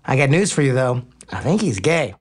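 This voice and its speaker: A man's voice: mid-range voice, very animated, a little fast. An actor playing a cocky, teasing male pro baseball player in a romantic comedy audio drama. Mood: teasing, unbothered.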